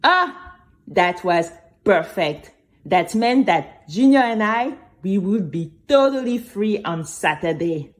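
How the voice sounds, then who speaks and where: A nervous, dreamy girl played by a woman narrates her own children's story. Her delivery is slow and very animated, with dramatic, chatty asides to the listeners. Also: medium pitch. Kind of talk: scripted first-person storytelling for children.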